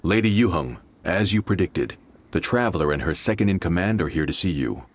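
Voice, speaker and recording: A man's voice: deep voice, expressive, brisk. A man playing a dutiful soldier in a video game, a platoon leader who speaks with formal military courtesy. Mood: formal, respectful.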